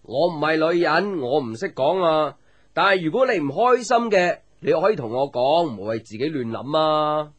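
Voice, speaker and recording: A man's voice: a little high, moderate pace. An actor with a Hong Kong Cantonese accent playing a playful, teasing young man, a caring friend, in a light conversational radio drama. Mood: gentle. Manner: teasing, joking, animated with exclamations. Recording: music under the voice.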